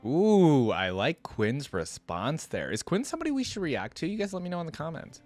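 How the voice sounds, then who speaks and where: A man's voice: fairly high pitch, wildly swooping pitch, normal speed, darkish tone, soft background music. A man hosting a reaction video, giving casual, curious commentary and musing aloud.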